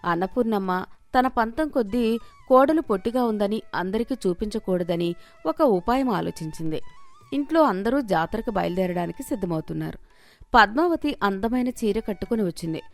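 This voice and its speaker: A woman's voice: mid-range voice, lively intonation, normal speed, faint music behind. A woman storyteller giving a scripted narration of a moral story.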